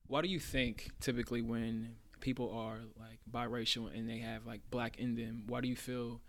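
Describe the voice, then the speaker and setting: A man's voice: mid-range voice, little pitch movement, normal speed. A man hosting a podcast, putting interview questions to his guests.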